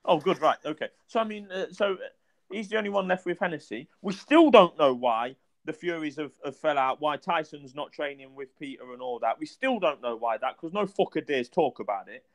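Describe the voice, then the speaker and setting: A man's voice: a little high, expressive, brisk. A man, a co-host on a casual boxing podcast, who talks bluntly, swears freely and sounds dismissive.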